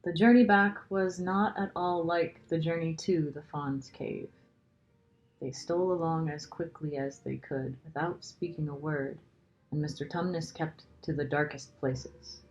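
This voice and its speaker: Woman w a low voice reading a kids book expressively, slightly dull recording. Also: fairly even intonation, measured pace, darkish tone. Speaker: storybook reader. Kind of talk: expressive children's story narration.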